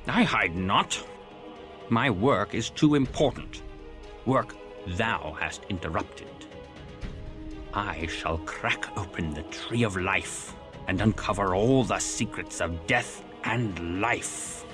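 An actor playing a pompous, obsessive vampire scholar villain in a video game, declaiming in grandiose archaic language. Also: male voice, sits low, slow, over music. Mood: grandiose scheming. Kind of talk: theatrical video game acting.

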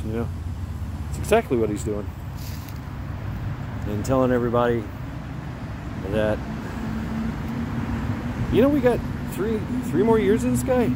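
A middle-aged American man talks in a slow, halting, casual ramble with an average-pitched voice. He is outdoors on a neighborhood walk, speaking into a handheld phone, with clear street and car noise around him. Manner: halting, breaking off to talk to a dog. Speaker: vlogger. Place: outdoors walking along a neighborhood sidewalk. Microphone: handheld phone at arm's length.

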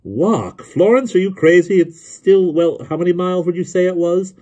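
Storybook role: blustering, exasperated man, loud and raised in pitch, acted by a male reader. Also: steady tone, normal speed, close to an everyday voice. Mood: incredulous. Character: blustery, grumbling, excitable grown man.

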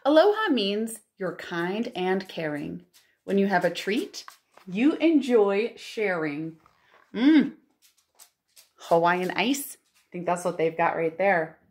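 A woman in her thirties hosting a storytime for young children, reading aloud and chatting in an expressive, exclamatory way. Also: mid-range voice, slow pace. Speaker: children's librarian and storytime host. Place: living room at home.

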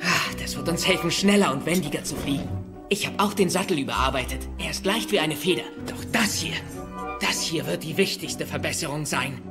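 An actor playing an earnest, wry teenage Viking dragon rider in a cartoon audio drama. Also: male voice, fairly high pitch, brisk, music in the background, close to an everyday voice. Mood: eager.

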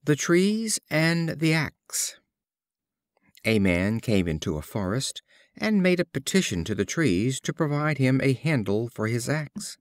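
A man reading fables as scripted storybook narration, in a measured, expressive storyteller's delivery. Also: medium pitch, no room sound, studio quality. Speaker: audiobook reader.

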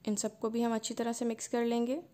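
Lady doing a cooking voice-over, kinda high voice, calm step by step. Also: fast. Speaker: cooking channel host. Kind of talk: recipe voice-over narration.

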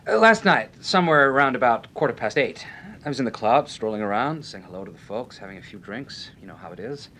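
An actor playing a brash, tough-talking nightclub owner in an old radio detective serial, blunt and slangy. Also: male voice, lively intonation, brisk, fairly bright tone. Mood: casual. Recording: vintage radio recording with rumbling background noise.

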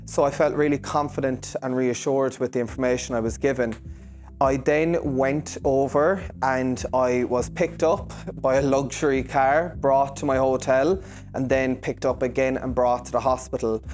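Young man, middle-pitched voice, earnest testimonial, lapel mic, faint music behind. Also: steady tone, normal speed, darkish tone. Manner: earnest, reassuring, with some fillers and restarts. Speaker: hairdresser giving a clinic testimonial. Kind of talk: interview testimonial. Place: hair salon, indoors.

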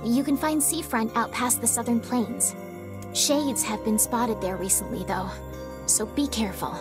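A calm, helpful young female village advisor from a fantasy game, voiced by an actress. She explains in measured phrases with a fairly high voice, music clearly playing underneath. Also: natural voice. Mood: concerned, helpful. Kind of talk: game voice acting.

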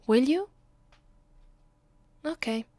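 A woman acts out an affectionate vampire girlfriend for an ASMR roleplay, with an Italian accent. Her voice is slightly high and soft, and she takes her time over each phrase, intimate and hesitant, with a playful, clingy streak. The sound is very dry, with no room to it. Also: animated, drawn-out words, no room sound, exaggerated voice. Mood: loving, content. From Italy. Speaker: voice actress.

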